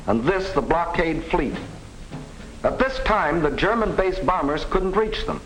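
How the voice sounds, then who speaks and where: A man narrating a 1940s propaganda film with emphatic, deliberate phrasing, on a vintage film soundtrack. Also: on the high side, slight background noise, poor audio. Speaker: documentary narrator.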